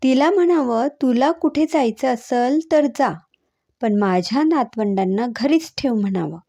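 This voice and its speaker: A woman as a stern old grandmother, slow-spoken. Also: high voice, animated, warm tone, pitched above the performer's own voice, heavily acted. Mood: angry, sarcastic. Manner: scolding, commanding, sarcastic. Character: stern, superstitious old grandmother, sarcastic matriarch.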